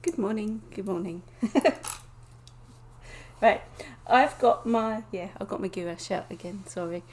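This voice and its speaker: A woman from England, chatting casually to viewers on a live stream from her desk, croaky and sniffly with a cold, often laughing. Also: mid-range voice, measured pace, darkish tone. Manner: chatty, giggly, greets viewers by name. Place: home desk indoors.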